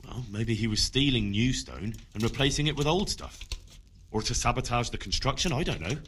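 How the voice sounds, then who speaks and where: A man plays an earnest young investigator from a medieval video game. His mid-pitched voice moves around a lot and he presses his point stubbornly. There is faint noise behind him. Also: expressive, a little fast, a bit bright, natural voice. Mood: probing, earnest. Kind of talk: video game drama acting.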